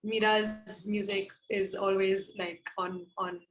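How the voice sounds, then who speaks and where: A woman's voice: mid-range voice, little pitch movement, slow pace, echoey room, rough recording. A woman with an Indian accent chatting casually as a musician guest, gushing and enthusiastic. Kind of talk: casual chat.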